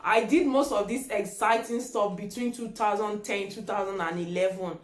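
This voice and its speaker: A woman's voice: mid-range voice, normal speed. A woman of about thirty with a Nigerian accent, a native Yoruba speaker, chatting casually as a vlogger and repeating words for emphasis. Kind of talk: casual chat answering tag questions. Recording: echoey room.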